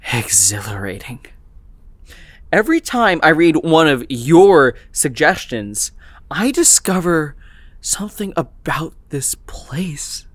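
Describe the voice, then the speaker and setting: Horror podcast lead: actor as obsessive young male student, brooding and halting, unhurried, very dry close sound. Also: medium pitch, a bit bright, dry recording. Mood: exhilarated, drained. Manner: brooding, halting, musing to himself. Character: obsessive, exhausted young male student recording himself alone. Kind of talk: horror audio drama monologue.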